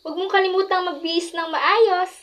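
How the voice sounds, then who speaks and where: A woman's voice: extremely high pitch, steady tone, brisk, a bit bright. A woman playing a giddy, mischievous teenage schoolgirl in an amateur radio drama. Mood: excited, scheming.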